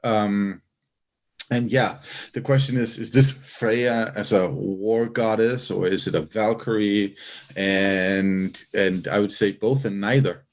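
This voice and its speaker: Low-voiced man explaining casually. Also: fairly even intonation, slow pace. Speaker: podcast host. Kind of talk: casual explanatory podcast talk.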